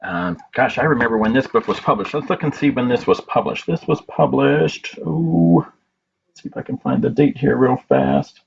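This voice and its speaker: A man hosting a storytime as a librarian, reading picture books aloud in measured phrases with pauses and informal asides. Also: medium pitch, big pitch swings. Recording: dull lo-fi audio with clear background noise.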